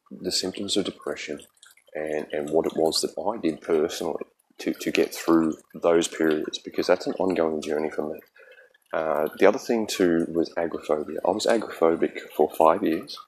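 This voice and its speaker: A man's voice: a bass voice, steady tone, moderate pace, darkish tone, slight background noise, poor audio. An Australian man hosting a solo podcast, speaking in an earnest, reflective way with many ums and restarts. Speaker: podcast host.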